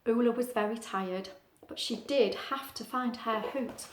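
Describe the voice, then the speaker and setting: A woman reading a picture book to young children like a teacher, expressive and singsong, with chatty asides and little questions. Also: a little high, unhurried, echoey room.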